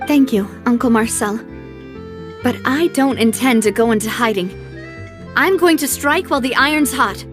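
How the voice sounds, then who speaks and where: A resolute young woman investigator from a video game, voiced by an actress, speaks in a high, poised voice. Her delivery is deliberate and thoughtful, with soft music underneath. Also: natural voice. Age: young adult.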